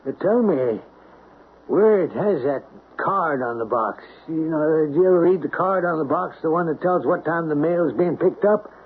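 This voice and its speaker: Pushy suspicious boss, desperate edge, higher voice, old tinny radio drama. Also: male voice, normal speed, breathy edge. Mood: suspicious, stern. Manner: insistent, interrogating. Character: stern, suspicious boss turning desperate and menacing.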